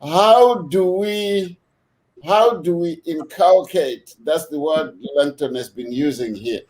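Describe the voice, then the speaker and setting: A man hosting a video call discussion, measured and unhurried, with many fillers as he thanks his guests. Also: a little high, a little mellow. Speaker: host.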